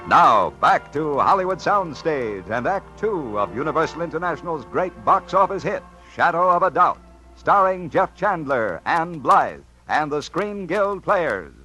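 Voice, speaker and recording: A man's voice: fairly high pitch, very animated, a little slow, bright, forceful tone, rough recording. An American man announcing a scripted 1950s radio program in a formal, emphatic manner. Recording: vintage radio recording with music.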